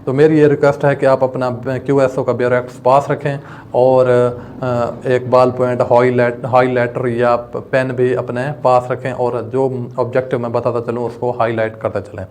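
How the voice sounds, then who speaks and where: Pakistani man lecturing, flat, even pitch. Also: moderate pace, a little hiss or hum. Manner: addresses students directly, explains step by step. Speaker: advocate giving law lectures online. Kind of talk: instructional lecture mixing in English legal terms.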